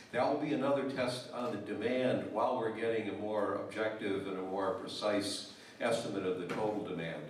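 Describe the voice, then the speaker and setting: A town selectman giving measured remarks at a public meeting, with hesitant restarts. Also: fairly low pitch. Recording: very echoey hall, distant mic.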